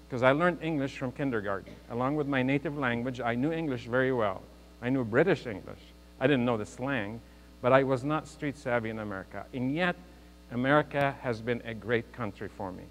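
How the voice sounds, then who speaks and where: Man, 50s, motivational speech, earnest, joking, large hall, mains hum. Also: medium pitch. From Lebanon. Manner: earnest, joking, speaks to the crowd. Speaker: guest speaker. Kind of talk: motivational speech to a youth audience. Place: large hall with a live audience.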